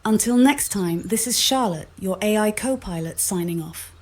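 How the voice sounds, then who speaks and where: A synthetic female assistant voice at a medium pitch, speaking as an AI copilot. Her delivery is composed and formal, in neatly finished sentences. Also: lively intonation, moderate pace, a little mellow.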